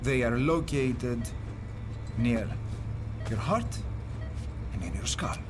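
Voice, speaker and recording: An actor playing a grave, soothing hospital doctor in a video game drama, speaking gently and deliberately in halting phrases. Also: male voice, medium pitch, lively intonation. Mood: grave, gentle. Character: grave, soothing male hospital doctor breaking bad news. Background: loud cinematic music.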